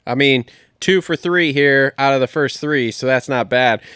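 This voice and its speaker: A man's voice: medium pitch, normal speed, fairly bright tone, clean tone, no room sound, clean studio sound. A man co-hosting a podcast, leading the interview with profane, joking banter. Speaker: podcast co-host. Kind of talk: casual chat.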